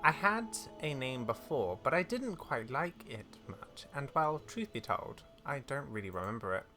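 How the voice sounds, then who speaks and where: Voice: medium pitch, big pitch swings, moderate pace, sharp tone. A gamer voicing a bold, quirky, self-important female talking horse from a video game, in a proud, theatrical manner. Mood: proud, whimsical. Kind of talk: read-aloud video game dialogue. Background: game music.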